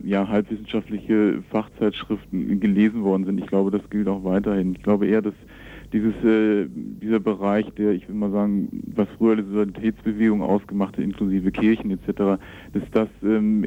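A man giving spontaneous interview answers, hesitant and searching for words. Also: lowish voice. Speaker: interview guest, magazine representative. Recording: thin phone-like band-limited sound.

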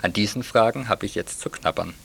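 Tiefere, knarrende Männerstimme. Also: male voice, on the low side, fairly quick, creaky, fairly bright tone, slight background noise. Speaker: radio theatre reviewer. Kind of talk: scripted radio review, read aloud.

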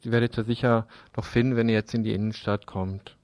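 A man's voice: on the low side, little pitch movement, a little fast, darkish tone, no echo. A man hosting on radio, making an informal live announcement. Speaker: radio host.

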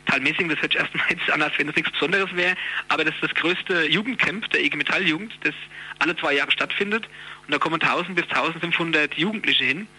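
A man's voice: a little high, fast talker, pushed and bright, husky, rough recording. A man giving an informal interview as a union youth representative, explaining things in a colloquial way.

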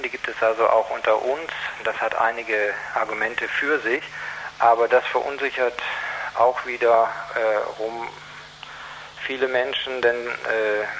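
A man gives earnest, considered answers as an activist guest on the radio. His voice sits at a middling pitch and he hesitates as he goes. There is a faint hum and noise on the recording. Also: pushed and bright, rough recording. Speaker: activist guest against child labour. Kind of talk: radio interview, considered explanation.

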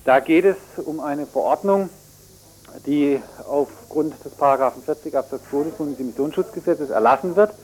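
City official guy in an interview, slightly high voice, formal and bureaucratic wording. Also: moderate pace, a little mellow, poor audio. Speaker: municipal environmental official being interviewed. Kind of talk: formal explanation of regulations.

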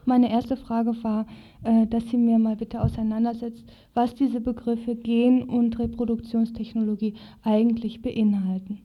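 A woman's voice: fairly high pitch, level tone, unhurried. A woman working as a radio reporter, presenting and interviewing in an informal style. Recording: dull lo-fi radio recording.

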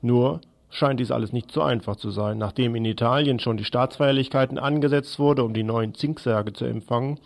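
A man presenting scripted radio news and commentary in a deliberate, sardonic manner. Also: mid-range voice, gravelly.

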